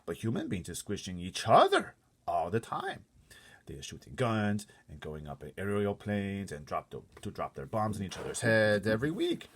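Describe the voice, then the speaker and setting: Big kindly storybook giant played by a man: husky, emphatic, folksy, with mangled grammar and a swooping delivery. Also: fairly low pitch, huge pitch swings, normal speed, voice pushed up, heavily acted. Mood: earnest, indignant. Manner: muddled grammar and made-up words, emphatic. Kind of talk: storybook character voice.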